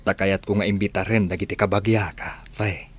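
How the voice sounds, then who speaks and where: An actor playing a soft-spoken, earnest father in a radio drama. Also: deep voice, little pitch movement, brisk, breathy edge.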